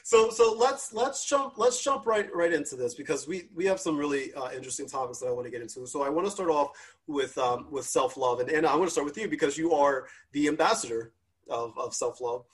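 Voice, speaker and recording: A man's voice: on the high side, fairly quick, breathy edge. A man hosting a casual panel chat, upbeat and friendly, laughing often and repeating his words. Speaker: panel host.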